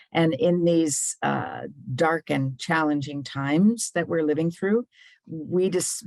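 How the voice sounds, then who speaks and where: A woman minister giving a relaxed, casual welcome, halting now and then. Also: deep voice, gravelly, dry recording. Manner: relaxed, halting with small pauses.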